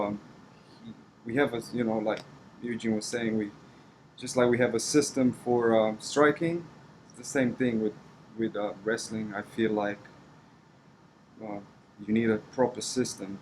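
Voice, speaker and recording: A man with a Romanian accent giving conversational interview answers as a coach, halting and repeating words as he goes. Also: fairly low pitch, darkish tone, slight background noise, rough recording. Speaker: wrestling coach.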